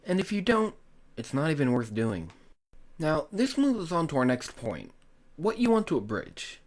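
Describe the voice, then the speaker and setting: A man's voice: a little high, wildly swooping pitch, normal speed. A man narrating a scripted tutorial, speaking in an earnest, instructive way straight to the viewers. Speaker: tutorial host, hobby video creator.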